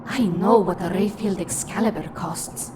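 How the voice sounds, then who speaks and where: A woman's voice: lowish voice, normal speed, background noise, lightly acted. A woman voicing a wary young alien woman. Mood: curious. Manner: wary, sharp-tongued. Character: young female quarian immigrant, guarded and defiant. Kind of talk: fan audio drama acting. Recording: music behind the voice.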